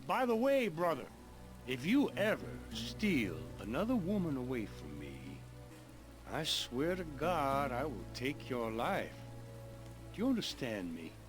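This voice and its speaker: A hot-headed outlaw brother for a Western game. He drawls slowly and menacingly, his brooding voice swinging widely in pitch, with music behind it. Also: huge pitch swings. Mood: threatening. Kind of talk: cinematic Western game acting.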